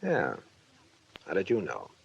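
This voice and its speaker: A man's voice: deep voice, wildly swooping pitch, a little slow, gravelly, slight background noise, heavily acted. An actor playing an embarrassed, stiff married businessman in a 1940s radio drama, reluctant and hesitant in manner. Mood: guarded. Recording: dull, lo-fi 1940s radio recording.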